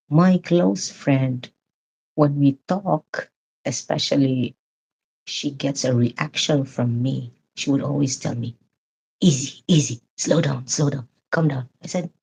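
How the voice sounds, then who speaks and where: A woman from the Philippines chatting loudly and casually with live viewers, laughing often. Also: low-pitched, steady tone, slow, creaky, long pauses, slightly rough, poor audio. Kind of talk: casual live-stream chat.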